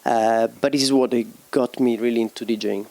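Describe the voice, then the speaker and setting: A young man talks about his life in casual interview answers. He speaks at an ordinary middle pitch, stops often to hunt for the right word and laughs easily. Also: steady tone, a little mellow. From Italy. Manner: halting, searching for words, laughs easily. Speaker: DJ and producer guest.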